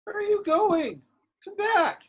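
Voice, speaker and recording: A woman's voice: extremely high pitch, expressive, slow pace, heavily acted. A woman reading the part of an eager, anxious young male space hero in a script table read. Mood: frustrated. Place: virtual read, recorded remotely.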